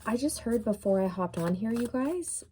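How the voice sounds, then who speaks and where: A woman's voice: on the high side, animated, dark tone, slight background noise. A woman doing a casual tarot reading who muses aloud with many fillers, saying she is losing her voice. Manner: musing, unhurried, many fillers. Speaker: tarot reader.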